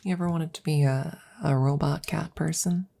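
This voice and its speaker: Devoted, worried female scientist, played by a woman, close-mic ASMR drama: lowish, mellow voice, soft whispering, rambling and tender toward the listener. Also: fairly even intonation, moderate pace. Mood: tender, earnest. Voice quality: breathy, often whispering. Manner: hesitant, rambling, gently reassuring. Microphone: close microphone.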